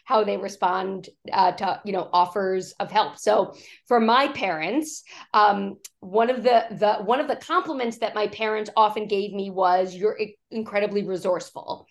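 A woman executive coach, hoarse from a cough, talking in a podcast interview and acting out quoted speech as she tells stories. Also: medium pitch, normal speed. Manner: animated storytelling, acts out quoted speech.

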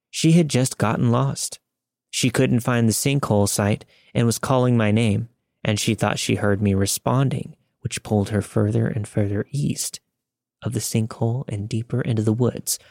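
A man's voice: medium pitch, vocal fry, darkish tone, no room sound, clean studio sound. A man reading a scripted first-person scary story as a podcast narrator. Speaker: podcast host reading listener stories.

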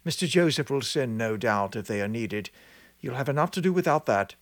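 A man voicing a brisk and impatient country clergyman. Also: mid-range voice, lively intonation, no room sound, lightly acted.

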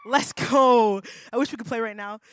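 A young adult woman pastor giving an energetic, playful youth workshop talk, slangy and laughing often. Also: a little high, normal speed, smooth, no echo, clean studio sound. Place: conference room with youth audience.

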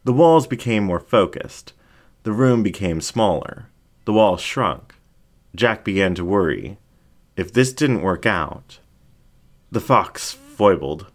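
A male narrator reads fiction in a low-pitched voice. The pace is unhurried and deliberate. His intonation moves a great deal, giving the storytelling a dramatic feel. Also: lively intonation.

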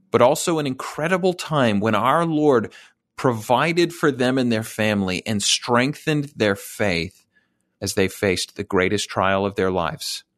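Low-voiced earnest male podcast host. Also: fairly bright tone, no echo, clean studio sound. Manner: earnest, measured. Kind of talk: podcast interview hosting.

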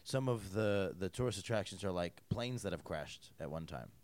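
A man hosting a casual chat, rambling with many restarts and trailing off low at the ends of phrases. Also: expressive, normal speed, a little mellow, dry recording.

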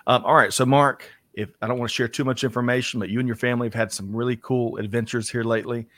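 A man's voice: medium pitch, fast talker, dry recording. Upbeat male host. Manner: upbeat, energetic introductions with frequent laughs. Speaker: podcast host. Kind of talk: casual hosted business talk show.

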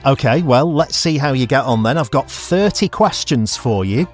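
A man hosting a quiz, putting questions to a contestant in an upbeat, lively way. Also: mid-range voice, moderate pace, soft background music. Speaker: quiz host.

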